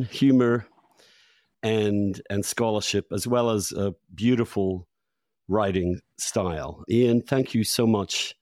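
A man's voice: lowish voice, darkish tone, no echo, studio quality. A man hosting a podcast, introducing his guest and asking eager, interrupting follow-up questions. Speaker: podcast host. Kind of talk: conversational interview.

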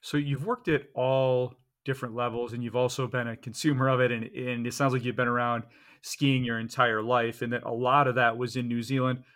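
A man's voice: mid-range voice, little pitch movement, a little fast, a bit bright. Curious male interview host. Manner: curious, asks long layered questions. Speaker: podcast host. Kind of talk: interviewing a guest.

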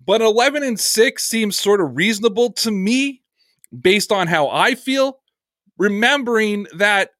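A man's voice: sits high, sharp tone, no room sound, studio quality. A man hosting a sports podcast, answering viewer comments in an earnest, conversational way.

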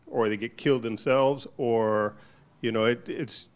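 A man in a church group sharing a personal reflection, talking haltingly as he searches for words. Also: medium pitch, steady tone. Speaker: congregant.

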